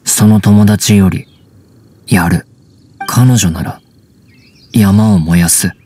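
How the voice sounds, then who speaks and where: Low, halting wild boy. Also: dark tone, slight background noise. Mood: calm, plain. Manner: halting, terse, simple broken phrases. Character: gruff, guarded teenage wild boy raised by wolves. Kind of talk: Japanese anime video game voice acting.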